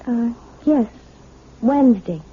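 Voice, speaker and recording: A woman's voice: on the high side, animated, slow, slight background noise. A woman playing a cool, wounded wife and anxious patient in an old-time radio drama. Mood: entranced, obedient. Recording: muffled, narrow-band old radio recording.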